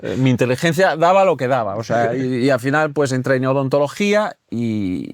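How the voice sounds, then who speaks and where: A man's voice: mid-range voice, very animated, fairly quick, dry recording, clean studio sound. A middle-aged Portuguese man who speaks Spanish with a slight Galician accent, a podcast guest telling his story in a self-deprecating way and often repeating words as he thinks. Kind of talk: casual podcast interview.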